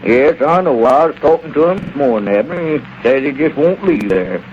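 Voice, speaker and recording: A man playing a folksy, lively small-town squire. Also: a little high, a little hiss or hum, lightly acted. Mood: businesslike. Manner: folksy, drawn-out and hesitant. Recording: muffled vintage radio recording.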